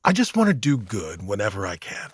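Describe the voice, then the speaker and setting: An actor playing a weary, kind monster-slaying hero in an audio drama, wry, candid and gently reassuring. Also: male voice, fairly low pitch, huge pitch swings, a little fast, breathy edge, no room sound, full character voice. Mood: reflective.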